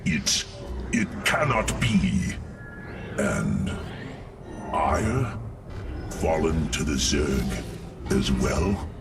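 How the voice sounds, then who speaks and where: Deep, gravelly alien warrior. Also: male voice, very animated, extremely slow, long pauses, recorded from a distance, over music, exaggerated voice. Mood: shocked, grieving. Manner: grave, declamatory, noble. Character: proud ancient alien warrior revived inside a war machine. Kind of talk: video game cutscene acting.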